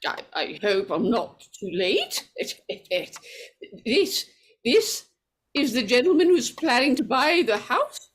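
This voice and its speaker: A strange, fretful woman played by an actress in an old radio horror play. The high voice moves slowly and haltingly, swinging from pleading to ominous intensity, with faint noise behind. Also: big pitch swings. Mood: timid reproach. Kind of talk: 1940s radio horror acting.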